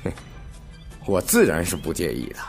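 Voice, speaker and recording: A man's voice: deep voice, wildly swooping pitch, normal speed, gravelly, soft background music, full character voice. A man playing a smug, falsely genial gang rival in an audio drama, drawling and mocking. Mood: cold, dismissive.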